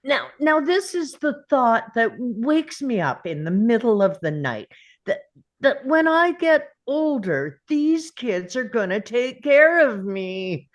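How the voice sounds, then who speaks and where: A woman's voice: a little high, sing-song, slow. A woman reading an indignant, weary older male school teacher in a table read of a film script. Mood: worried, earnest.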